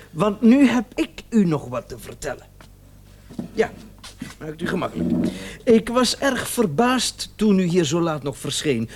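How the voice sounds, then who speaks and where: An actor playing a talkative, smug doctor with bitter irony and theatrical, drawn-out emphasis in a Dutch radio play. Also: male voice, fairly high pitch, slight background noise. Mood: confiding, wry. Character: smug, talkative doctor, bitterly sarcastic about his unfaithful wife.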